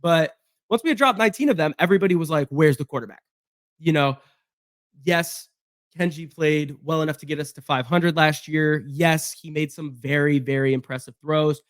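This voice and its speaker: A man with a hoarse voice from being sick, chatting casually through a solo football roster breakdown with fillers and restarts. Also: a little high, moderate pace, no room sound, studio quality. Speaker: sports podcast host.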